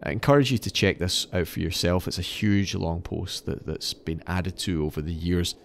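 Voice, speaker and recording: A man hosting a podcast, telling stories in a semi-scripted, articulate and measured explanatory way. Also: sits low, gravelly, slight background noise. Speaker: podcast host. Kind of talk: semi-scripted storytelling narration.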